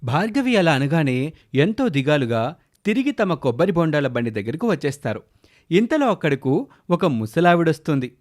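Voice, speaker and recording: A man's voice: a little high, big pitch swings, moderate pace. A man narrating a scripted children's story as the storyteller.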